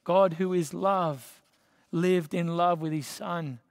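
An Australian man in his thirties preaches from a lectern, his voice sitting a little high for a man. He is earnest and emphatic, and he leans on dramatic pauses. The sound carries the long reverb of a church hall. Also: fairly even intonation. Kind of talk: sermon. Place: church stage behind a lectern.

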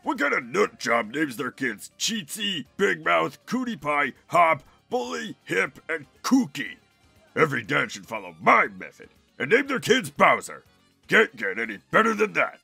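A man voicing a big, bossy, boastful turtle monster king with a rough, gruff growl in a cartoon. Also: on the high side, huge pitch swings, a little slow, pushed and bright, no echo, exaggerated voice. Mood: gruff explaining. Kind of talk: cartoon fan-dub character acting. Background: music.

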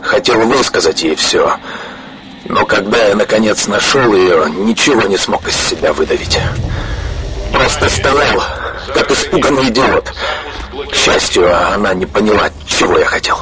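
An actor playing a weary, guilt-ridden male scientist confessing haltingly in a video game audio log. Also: on the low side, lively intonation, background noise, natural voice. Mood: ashamed. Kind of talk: dramatic recorded monologue in a video game.

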